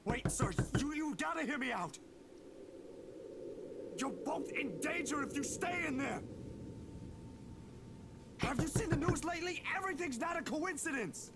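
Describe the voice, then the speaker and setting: A man's voice: extremely high pitch, animated, unhurried, long pauses, full character voice. A man playing an agitated, pleading young man in dramatic dialogue from a horror visual novel. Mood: urgent, pleading. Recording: loud noise over game stream.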